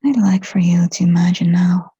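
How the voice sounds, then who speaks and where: A woman leading a guided meditation in a soft, breathy whisper, speaking gently and soothingly in short phrases with long pauses between them. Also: on the low side, steady tone, dry recording. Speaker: hypnotherapist guiding a meditation. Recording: dull, muffled lo-fi sound.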